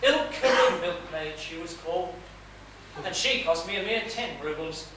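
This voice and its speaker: A man's voice: high-pitched, animated, moderate pace. A man playing a fussy but tender middle-aged father in a stage comedy, with courteous, florid, projected delivery. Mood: blustering, coaxing. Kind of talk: live comedic stage play acting. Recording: echoey theatre stage, distant mic, noisy.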